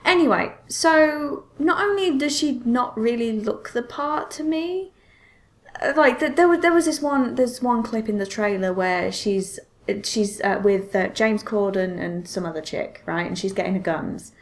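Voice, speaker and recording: A woman's voice: a little high, unhurried. Woman podcast host chatting in bursts. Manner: animated storytelling with self-interruptions and exclamations. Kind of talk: casual chat.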